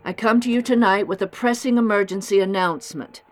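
An actress playing a courteous, grave city mayor in an audio drama, speaking in a measured, official way. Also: female voice, mid-range voice, close to an everyday voice. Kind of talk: formal public announcement in radio drama.

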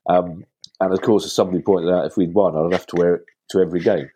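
Man, stuffy nose, low husky voice, self-deprecating podcast host. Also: steady tone, fast talker, warm tone. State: bunged up from a cold. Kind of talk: casual podcast chat.

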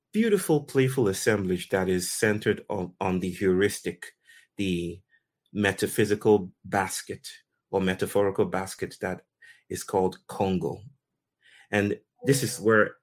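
A man from Nigeria hosting a conversation, telling a story in slow, deliberate, poetic phrases with pauses. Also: sits low. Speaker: host. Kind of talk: reflective storytelling talk.

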